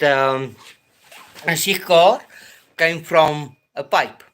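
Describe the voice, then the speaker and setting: A South African man hosting a casual live stream, talking in a hesitant, halting way with many pauses and restarts. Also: fairly high pitch, a bit bright.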